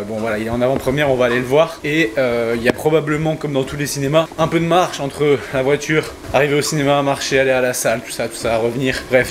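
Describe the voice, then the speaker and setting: Chatty French-speaking man vlogging. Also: mid-range voice. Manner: chatty, explaining step counts and food as he goes. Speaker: nutrition coach and vlogger. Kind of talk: casual vlog chat to camera. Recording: faint background noise.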